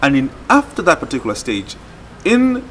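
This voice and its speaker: A man, explaining a project in a documentary interview outdoors, with deliberate, halting phrasing. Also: fairly high pitch, huge pitch swings, a bit bright, a little hiss or hum. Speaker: theatre project leader. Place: outdoors in a leafy courtyard.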